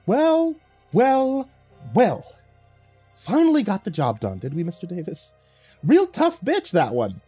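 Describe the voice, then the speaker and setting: A man's voice: high-pitched, huge pitch swings, mellow, breathy edge, no echo, soft background music, voice pushed up, exaggerated voice. A man voicing a gleeful, theatrical devil lord in a horror story, mocking and drawing out his words. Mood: mocking delight. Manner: mocking, drawn-out, exclamatory.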